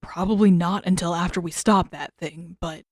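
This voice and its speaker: A woman's voice: fairly low pitch, fairly even intonation, moderate pace, darkish tone, no room sound, studio quality, natural voice. A woman playing a determined teenage prince in a storybook, speaking in an earnest, matter-of-fact way. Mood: firm.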